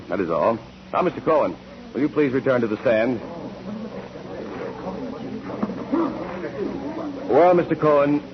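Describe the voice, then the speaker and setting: An actor playing a formal, relentless courtroom examiner who questions witnesses deliberately in a 1940s radio drama. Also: male voice, medium pitch, close to an everyday voice. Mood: insistent. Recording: muffled, noisy old 1940s radio recording.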